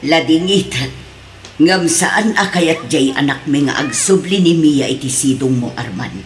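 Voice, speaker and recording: A woman's voice: low voice, unhurried. An actress with an Ilocano accent playing a stern older mother protecting her daughter in a radio drama. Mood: firm, reproachful. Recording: echoey room, faint noise.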